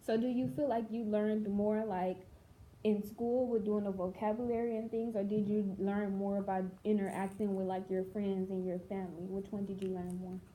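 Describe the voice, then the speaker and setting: A woman's voice: mid-range voice, flat delivery, moderate pace, warm tone, a little hiss or hum, low-quality recording. A young adult woman, a teacher, interviewing her student and prompting her with follow-up questions. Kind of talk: casual interview.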